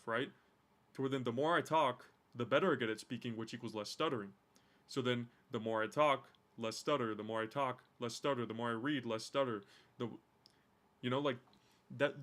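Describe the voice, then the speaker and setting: A man's voice: medium pitch, sharp tone. Casual vlogger man, swears a lot, circles and repeats himself while reflecting; has a stutter. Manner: loose, swearing, repeats words while thinking aloud. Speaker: vlogger reacting to a course video. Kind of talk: casual reaction commentary.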